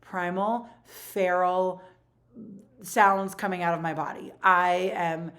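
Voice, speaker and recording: A woman in her forties with a naturally low voice tells a personal story straight to camera. She talks casually and with energy, full of "like" and quick asides. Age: 40s. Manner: animated, rapid retelling with many fillers. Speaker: vlogger.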